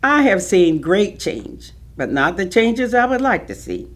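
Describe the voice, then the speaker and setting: An actress playing an earnest, dignified older community elder, reflective and emphatic in a staged reading of recollections. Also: female voice, mid-range voice, very animated, unhurried. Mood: earnest, urging. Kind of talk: staged reading of oral history testimony.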